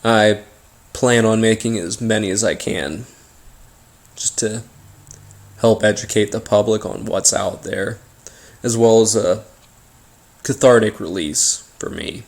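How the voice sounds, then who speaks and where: An American man telling a first-person paranormal story in an informal, halting way, pausing between short phrases. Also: on the low side. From Appalachian region of the United States. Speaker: host and narrator of a paranormal story series.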